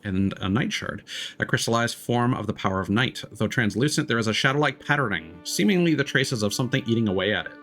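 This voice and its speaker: A man livestreaming a game, reading lore text aloud in a measured, dramatic way. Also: mid-range voice, animated, fairly bright tone. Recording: music behind the voice. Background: game music.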